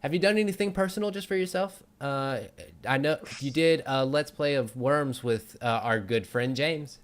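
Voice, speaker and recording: A man's voice: mid-range voice, moderate pace. A man interviewing a guest in a casual, conversational style as the host of a talk show. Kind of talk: casual chat.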